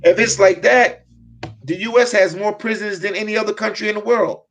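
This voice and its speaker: A man hosts a relaxed live stream about travel, his voice sitting a little high for a man. He reads viewer questions aloud and laughs easily at his own points. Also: fairly quick. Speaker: host of a live travel chat. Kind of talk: casual live stream chat.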